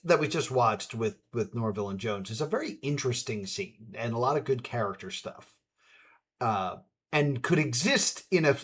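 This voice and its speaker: A man hosting a stream with casual commentary, halting as he thinks aloud. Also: medium pitch, a bit bright. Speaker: streamer, host.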